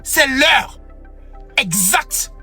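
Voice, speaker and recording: A man's voice: very high voice, animated, very slow, sharp tone, faint music behind. A young man giving an impassioned political speech, repeating phrases for emphasis. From Togo. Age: young adult. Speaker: activist.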